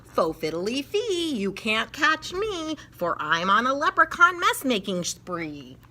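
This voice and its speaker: Woman playing a bright, gleeful little leprechaun trickster. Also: fairly high pitch, big pitch swings, slow pace, exaggerated voice. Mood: gleeful, taunting. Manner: sing-song rhyming taunts.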